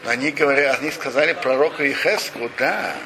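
A man's voice: mid-range voice, brisk, a crowd in the background. A man giving a religious lesson, retelling an old story with rhetorical questions and frequent restarts. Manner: frequent restarts and self-corrections. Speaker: religious teacher. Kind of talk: Torah lesson retelling a midrash. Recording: steady background noise.

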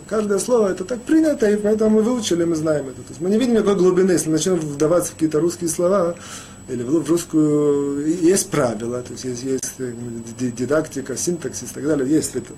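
A man teaching a Torah lesson as a rabbi, talking haltingly with many restarts and fillers. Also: a little high, dark tone, a little hiss or hum. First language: Russian, rarely used in daily life. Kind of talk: spoken Torah lecture.

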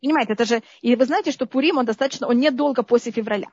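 A high-pitched woman from the Soviet Union talks through her memories, often checking that her listeners follow. The sound is dry and dull, as if taken from a video call. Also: racing, breathy edge, dry recording. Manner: lively, keeps asking the listener "you understand?". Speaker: interview guest. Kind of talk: conversational storytelling.

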